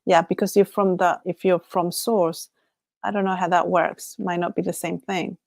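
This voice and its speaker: A woman's voice: lowish voice, little pitch movement, moderate pace, creaky, darkish tone, dry recording, clean studio sound. A woman from Chile with a Chilean Spanish accent, Spanish her first language, hosting a casual solo livestream chat. She muses aloud, often laughs, and trails off and restarts her thoughts. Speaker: livestream host.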